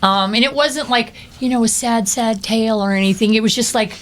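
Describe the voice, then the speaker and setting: A woman's voice: a little high, brisk, fairly bright tone. A woman in her fifties, a guest on a podcast, talking candidly and telling stories with acted-out quotes. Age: 50s. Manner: candid, storytelling, acts out quotes. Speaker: guest, recovery house co-founder and author. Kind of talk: casual podcast conversation.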